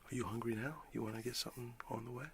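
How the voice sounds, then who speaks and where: A man playing a warm, excited father who talks affectionately and in a folksy way to his young son in a breathy whispered ASMR roleplay. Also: medium pitch, natural voice. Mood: gentle, playful. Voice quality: breathy whisper with gravelly creak. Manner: unhurried, folksy, affectionate, with long pauses.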